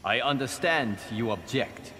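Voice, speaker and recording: An actor playing a steadfast, dutiful young warrior monk in a video game, earnest and firm. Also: male voice, mid-range voice, lively intonation, unhurried, natural voice. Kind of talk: video game fight dialogue. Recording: reverberant hall, loud game noise.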